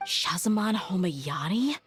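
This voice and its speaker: Low-voiced, cool young female mercenary. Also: expressive, slow, music in the background. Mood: suspicious, puzzled. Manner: cool, matter-of-fact, frank. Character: tough young woman desert mercenary, level-headed, frank. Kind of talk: video game voice acting.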